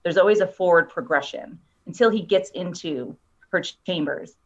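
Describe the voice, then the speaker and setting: A woman's voice: on the low side, normal speed. A woman guest explaining her work in casual talk over dull, lo-fi call audio. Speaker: guest, screenwriter and story analyst. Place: online video call.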